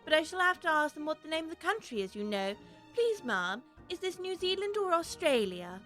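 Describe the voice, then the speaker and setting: A woman's voice: high voice, big pitch swings, brisk, fairly bright tone, faint music behind. A woman voicing a curious, chatty, imaginative little girl who muses aloud to herself in a storybook audiobook. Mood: polite, pondering. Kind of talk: full-cast audiobook acting.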